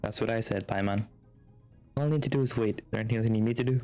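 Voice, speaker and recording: A man's voice: fairly low pitch, very fast. A man streaming a video game, giving casual, wry commentary and quipping back at the characters. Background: soft game music.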